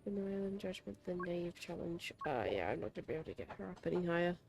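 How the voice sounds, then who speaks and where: A woman's voice: fairly low pitch, animated, quick pace, creaky. A woman giving casual, informal live commentary as a game streamer, half talking to herself. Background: game music.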